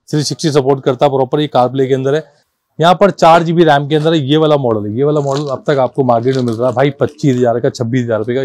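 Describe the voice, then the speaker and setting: A man from presents goods in an informal sales pitch. His voice sits slightly high and is recorded dry, with little room sound. He is insistent and repetitive, addressing viewers like brothers and switching into English for numbers and terms. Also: darkish tone, no echo. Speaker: car accessories shop seller presenting stock.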